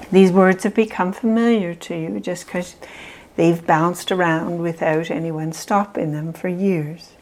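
A woman leading a guided self-help visualization in a calm, measured way with long pauses between phrases. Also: fairly low pitch. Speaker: meditation guide.

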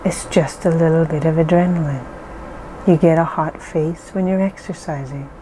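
A woman slowly leading a calming guided relaxation, reassuring and gentle with deliberate pauses. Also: low voice, a little hiss or hum, poor audio. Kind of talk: slow calming guided meditation.

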